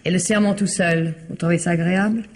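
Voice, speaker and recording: An actress voices a reserved woman who keeps her composure in her own house, speaking in a calm, dry way in a vintage radio play. Her lines stay measured and guarded. Faint hiss sits under the old recording. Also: lowish voice, natural voice. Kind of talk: vintage radio drama acting.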